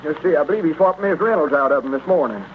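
An actor playing a simple, put-upon young store helper with a rural Southern accent, folksy and plaintive, in old-time radio comedy. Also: male voice, fairly high pitch, animated, fast, lightly acted. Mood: earnest. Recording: tinny, noisy old radio recording.

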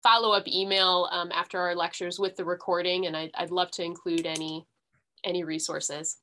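Bright-voiced woman moderating a webinar. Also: lowish voice, flat delivery, normal speed. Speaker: host and question moderator. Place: indoors, on a video call. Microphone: video call computer microphone.

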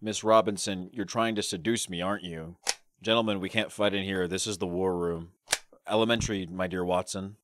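A young man performing classic movie characters in short, deadpan, theatrical one-liners. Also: deep voice, fairly quick, close to an everyday voice. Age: young adult. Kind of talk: amateur impressions of film quotes. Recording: clearly noisy background with clicks.

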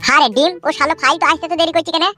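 A performer voicing a hot-headed, lovesick young village man in a comedy cartoon, blustering impatiently in slang with a high, bright voice. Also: steady tone, very fast, faint music behind. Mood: agitated. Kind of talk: animated comedy cartoon dialogue.

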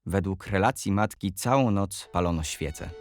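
A man reading scripted biographical narration for an audio play in a measured, factual manner. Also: deep voice, lively intonation.

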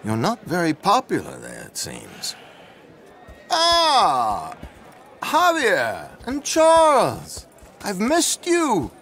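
A man playing a dapper, flamboyant gentleman rogue in a video game, with wry, flowery politeness. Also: high voice, huge pitch swings, drawn-out words, vocal fry, exaggerated voice. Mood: genial, effusive. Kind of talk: dramatic video game cutscene acting.

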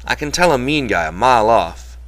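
A man voicing a calm, perceptive ranch hand in a storybook reading, speaking in plain, unhurried folksy phrases. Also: medium pitch, no room sound, voice pushed up, natural voice.

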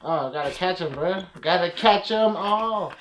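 A young man hosting an anime reaction video through a headset, talking in loose slang with frequent swearing and quick exclamations. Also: sits high, animated, roomy sound, background noise. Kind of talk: casual reaction commentary. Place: small room indoors. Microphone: gaming headset.

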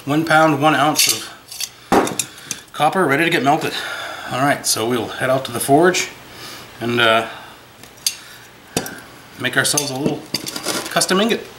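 A man's voice: medium pitch, a little slow, pauses often, background noise. A man with a sniffle, casually talking through his work at a workshop bench, self-deprecating and thinking aloud. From United States. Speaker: metal scrapping YouTuber. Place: indoor workbench in a workshop.

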